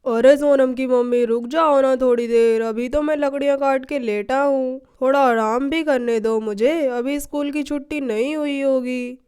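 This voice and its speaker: A lazy dad voiced by a woman with a very high voice in a children's cartoon story. He complains and makes sheepish excuses. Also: steady tone, moderate pace, few pauses, darkish tone, clear, resonant tone, close to an everyday voice. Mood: lazy, complaining.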